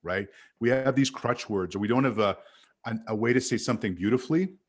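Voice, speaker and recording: A man from Montreal, an animated guest who tells stories and corrects himself as he chats on a video call. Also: medium pitch, fairly quick, slight background noise. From Canada. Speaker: guest sales speaker. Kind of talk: casual video-call chat.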